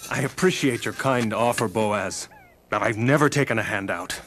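An actor playing a proud, stubborn farmer father in an animated Bible film, grave and terse. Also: fairly low pitch, normal speed, a little hiss or hum, lightly acted. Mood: proud, reserved. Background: music.

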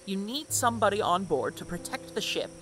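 A woman playing a practical, reasoning young seafarer in a fantasy audio drama, explaining in a measured way. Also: medium pitch, big pitch swings, fairly bright tone, slight background noise. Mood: warning.

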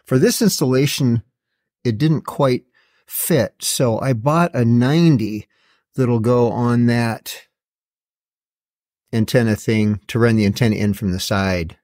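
Man, mid-pitched voice, middle-aged or older, matter-of-fact, slightly wry, casual hands-on product walkthrough. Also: slow pace, darkish tone. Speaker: video host. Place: indoor garage workshop.

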